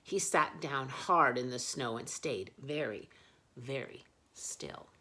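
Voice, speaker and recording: A woman's voice: a bass voice, takes it slowly, bright, forceful tone, a little hiss or hum. A woman reading picture books aloud expressively as a children's storyteller.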